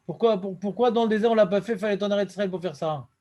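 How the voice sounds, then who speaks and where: High-voiced rabbi teaching. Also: male voice, racing. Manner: lively, questioning, quotes Hebrew verses in a chant-like cadence. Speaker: rabbi teaching a Talmud class. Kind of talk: Talmud lesson.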